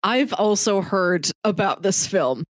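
A woman's voice: medium pitch, expressive, slow pace, no room sound. Articulate woman co-host, dry close sound. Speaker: podcast co-host. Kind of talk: casual movie podcast chat.

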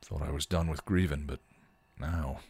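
A sarcastic, world-weary punk vampire, full of slang, as a man reading fan fiction does the voice. The voice sits very low and sounds dry and close. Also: steady tone, normal speed, darkish tone, husky, no room sound, heavily acted. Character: sardonic grieving male punk vampire.

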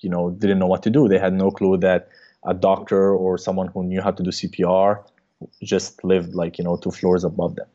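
A low-voiced man in his thirties, originally from Egypt, speaks as a cardiologist guest in a casual interview. His tone is mellow and he talks haltingly, with a lot of fillers. The recording sounds dull and lo-fi. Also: little pitch movement. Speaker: guest, cardiologist and startup co-founder.

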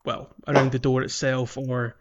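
A man's voice: medium pitch, level tone, normal speed, highs cut off, heavy background noise. A man co-hosting a podcast, talking through a video game casually and a little hesitantly. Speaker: podcast co-host.

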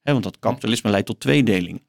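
A man talking as an interview guest, haltingly, repeating words as he searches for his phrasing. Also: on the low side, expressive, vocal fry. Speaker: guest, philosophy professor. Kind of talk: conversational book interview.

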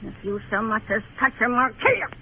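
A woman's voice: fairly high pitch, big pitch swings, a little fast, lo-fi sound. An actress playing a hard, imperious frontier woman in a radio Western, giving curt orders. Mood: grim, commanding. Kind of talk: 1950s radio drama acting. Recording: thin crackly old radio recording.

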